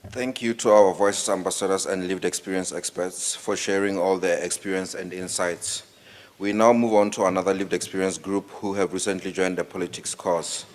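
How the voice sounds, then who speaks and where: Man, event host, very deep voice, reverberant hall. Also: fairly even intonation, moderate pace. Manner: introducing speakers in a formal way. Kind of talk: formal compering.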